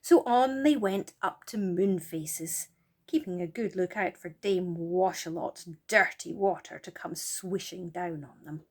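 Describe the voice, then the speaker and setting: A woman reading a children's story aloud as an expressive storyteller. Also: on the low side, unhurried.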